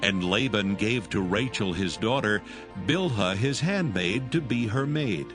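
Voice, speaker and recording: A man narrating dramatized scripture as a solemn, measured storyteller. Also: lowish voice, expressive. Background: music.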